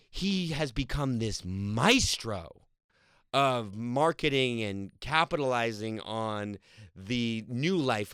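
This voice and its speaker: A man's voice: mid-range voice, dry recording, studio quality. A man telling stories about making a film, talking casually and rambling with frequent laughs. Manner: rambling, animated storytelling with laughs. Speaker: actor and director. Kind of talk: casual interview talk.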